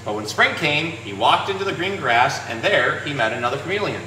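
A man's voice: a little high, animated, a little fast, bright, forceful tone. A man in his thirties talking conversationally, explaining and presenting in a casual educational storytime style. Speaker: zookeeper and pet store presenter. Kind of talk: casual educational animal talk and picture-book storytime. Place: small indoor room with painted aquarium backdrop. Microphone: phone at arm's length. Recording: echoey room, faint background noise.

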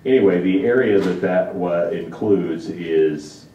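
A man's voice: a little slow, dark tone. A man presenting as a city manager, explaining matters in an informal, step-by-step way. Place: large indoor council chamber. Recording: dull, echoey distant chamber pickup with rumble.

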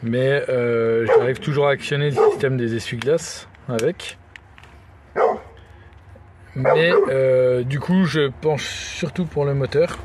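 A man's voice: medium pitch, steady tone, slow, heavy background noise. A young man chatting casually and wryly as a vlogger, in an informal, colloquial way, recorded on a handheld phone. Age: young adult. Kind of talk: casual vlog chat. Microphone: phone at arm's length.

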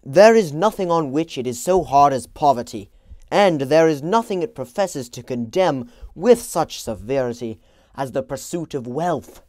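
A man's voice: fairly high pitch, expressive, normal speed, darkish tone, no echo, voice pushed up, close to an everyday voice. A man reading an audiobook voices an impatient, defensive young man who answers with insistent retorts.

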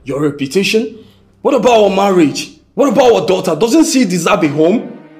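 A man acting as a husband and father who pleads with his wife and then confronts her loudly. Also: high-pitched, expressive, normal speed, soft background music. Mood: pleading. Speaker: actor.

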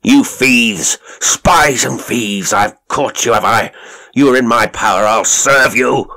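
Man putting on a furious burly villain, gravelly and menacing. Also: mid-range voice, slow pace, bright, forceful tone, pitched above the performer's own voice. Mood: furious, gloating. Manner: shrieking, menacing. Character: fat burly male villain, furious and gloating. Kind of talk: audiobook character acting.

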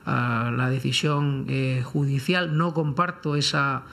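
A man's voice: medium pitch, steady tone, slow pace, creaky, fairly bright tone. A man speaking as a lawyer on a discussion panel, in careful, formal phrases with frequent hesitations. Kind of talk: unscripted legal commentary in a round-table debate.

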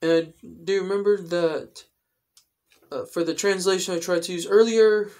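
A young adult man explaining things in a casual vlog style, with careful, halting phrasing, recorded indoors at home. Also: sits high, steady tone, darkish tone. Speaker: video creator. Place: indoors, small room at home.

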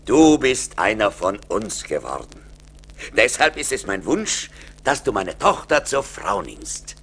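A man's voice: lowish voice, big pitch swings, slow, a bit bright, slight background noise, exaggerated voice. An actor playing a wealthy, generous nobleman and father-in-law in a German radio play, speaking in a formal, solemn, declamatory manner. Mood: benevolent. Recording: vinyl record transfer, faint music.

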